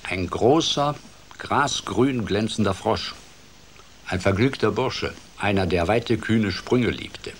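An actor playing a playful storyteller who tells a children's fairy tale in an expressive, theatrical way for a radio drama. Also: male voice, lowish voice, takes it slowly, a little hiss or hum. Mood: cosy, amused.

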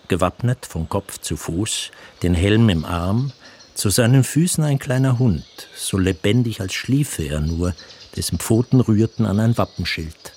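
An actor playing an observant, composed storyteller, narrating a literary radio play in careful, expressive phrases. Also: male voice, lowish voice, a little hiss or hum.

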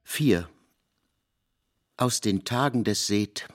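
An actor playing the narrator of an ancient biblical saga in a radio play, with measured, ornate phrasing. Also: male voice, on the low side, lively intonation. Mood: measured, erudite.

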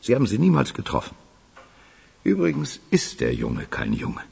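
An actor in a radio play playing a sober, dutiful investigator, gravelly and husky, reporting facts. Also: male voice, medium pitch, huge pitch swings, moderate pace, a little mellow, heavily acted. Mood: sober, factual. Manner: factual reporting.

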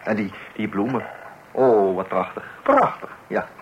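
A man's voice: on the low side, sing-song, a little slow. An actor playing a family man, a husband and father, in a radio play. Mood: affable, fond. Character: husband and father, mild but easily exasperated. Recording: dull, noisy old radio recording.

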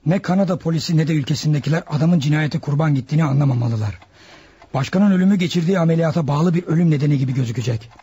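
An actor playing a stern, menacing ringleader of hired killers in a radio drama, with a husky voice. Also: male voice, on the high side, very fast, mellow, dull audio. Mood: commanding, calculating.

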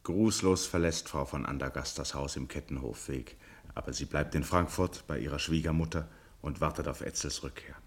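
A man narrating a scripted radio drama as a grave storyteller, with a husky voice. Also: extremely low pitch, moderate pace, exaggerated voice.

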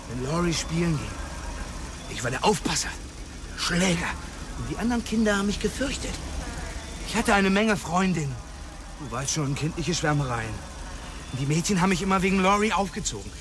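A man's voice: high-pitched, background noise. An actor playing a volatile police detective who pours out a bitter confession about his lost sister in a German radio drama. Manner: intense, confessional, pushy. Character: volatile male cop haunted by his sister.

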